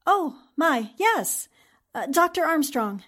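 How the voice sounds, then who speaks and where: Plucky, commanding girl reporter for 1940s-style radio drama actress, high, lively voice. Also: slow, exaggerated voice. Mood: groggy, puzzled. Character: brisk, eager, commanding female newspaper reporter.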